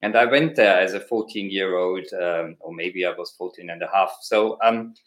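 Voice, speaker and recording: A middle-aged man with an Austrian accent speaks in a lowish voice. He talks as a podcast guest, thoughtful and passionate, with plenty of ums and uhs as he builds his ideas. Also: normal speed, fairly bright tone. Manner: passionate, reflective, with frequent fillers. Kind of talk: conversational interview.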